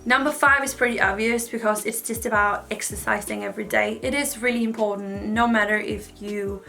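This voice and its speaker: A woman's voice: mid-range voice, steady tone, brisk, vocal fry, sharp tone, faint music behind. A woman, giving frank, chatty advice to camera with lots of "like" fillers. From Denmark. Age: 20s. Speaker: vlogger. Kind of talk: casual advice talk to camera.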